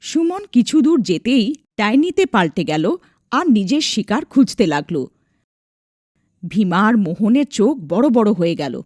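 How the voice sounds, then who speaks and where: A woman narrating a scripted animated folk tale as its storyteller. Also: medium pitch, normal speed, no room sound, clean studio sound.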